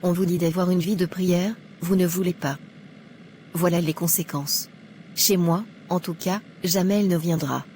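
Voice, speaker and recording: A woman playing a judgmental young churchgoer in an animated moral drama, chatty and smug. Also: on the low side, moderate pace, a little hiss or hum, natural voice. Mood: smug disapproval. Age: young adult.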